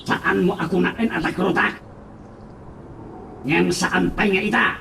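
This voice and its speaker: Man acting high-pitched, creaky Ilocano henchman. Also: fairly even intonation, a little slow, sharp tone, heavily acted. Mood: menacing. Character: menacing male henchman boss, taunting bully. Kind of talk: Ilocano radio drama acting. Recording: roomy, distant, faint background noise.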